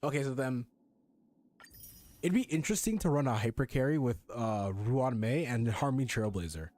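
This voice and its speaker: A man's voice: medium pitch, expressive, normal speed, a little mellow. A young adult man giving casual, slangy, sweary gameplay commentary with game music behind him. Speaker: game streamer.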